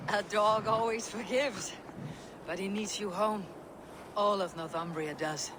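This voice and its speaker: A steadfast Viking warrior, played by a woman, blunt and commanding, speaking plainly at a middle pitch. Loud background noise runs under the game audio. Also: steady tone, measured pace, lightly acted. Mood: solemn. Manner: firm, plain-spoken, commanding. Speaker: game protagonist. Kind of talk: video game cutscene acting.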